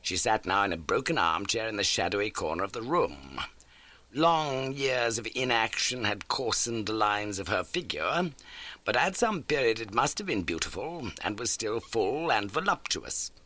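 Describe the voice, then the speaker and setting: A man's voice: lowish voice, sing-song, moderate pace, sharp tone. A man reading scripted literary narration as an audiobook narrator.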